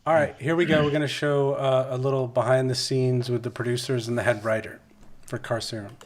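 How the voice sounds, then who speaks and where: A man with a medium-pitched voice hosts a podcast interview. He talks informally, moving the conversation along with simple questions. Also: little pitch movement, brisk. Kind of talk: casual interview.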